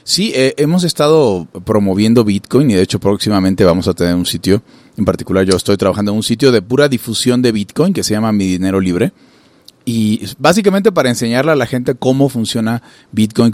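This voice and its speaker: A man's voice: fairly low pitch, darkish tone, no echo. A man from Venezuela hosting a casual interview, asking long, loose questions padded with "eh" and "este". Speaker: podcast host, interviewer.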